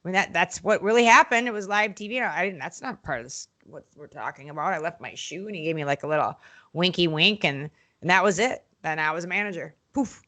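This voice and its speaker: Woman, podcast guest, bright tense voice, blunt, animated storytelling, lots of fillers. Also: on the low side, fast talker. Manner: animated storytelling, acts out quotes in other voices; frequent fillers and restarts, blunt and outspoken. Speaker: podcast guest, former wrestling interviewer and manager. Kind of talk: casual podcast interview.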